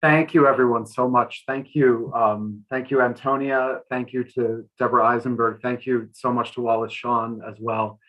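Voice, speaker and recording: A man working as translator and interpreter at a live talk, speaking haltingly with repeated words. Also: mid-range voice, fairly even intonation, fairly bright tone, poor audio.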